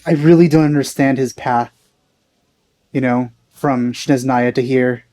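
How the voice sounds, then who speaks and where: A man's voice: mid-range voice, fairly even intonation, a little slow, warm tone. A man giving casual let's play commentary with dry, teasing asides.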